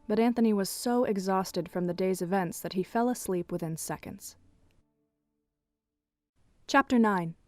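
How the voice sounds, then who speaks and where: A woman's voice: mid-range voice, a little mellow, no echo. A woman narrating a scripted adventure story for a fan audio drama.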